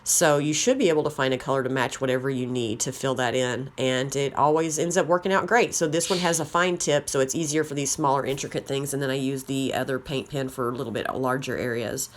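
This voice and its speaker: Woman, deep for her range, slightly raspy, rambling friendly tutorial host. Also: fairly quick, hardly any pauses, a little hiss or hum. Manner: chatty, rambling, self-deprecating. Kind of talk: casual how-to narration.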